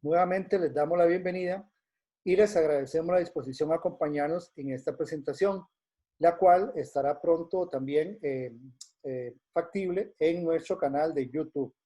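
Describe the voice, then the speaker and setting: A man hosting a webinar, reading a formal introduction of the guest in a measured way with occasional hesitations. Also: a little high, dark tone. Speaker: event host.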